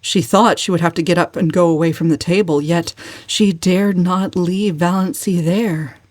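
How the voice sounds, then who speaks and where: A woman's voice: fairly low pitch, steady tone, moderate pace, a little mellow, no room sound. A woman narrating a novel as a dramatic, scripted literary reading. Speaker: audiobook narrator.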